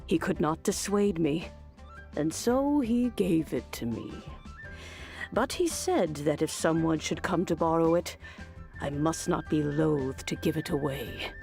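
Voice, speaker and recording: An actress playing a kindly, nostalgic old woman in a video game, speaking slowly and deliberately with a creaky voice. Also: low-pitched, expressive, exaggerated voice. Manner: slow, deliberate, sighing, gently teasing. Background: soft game music.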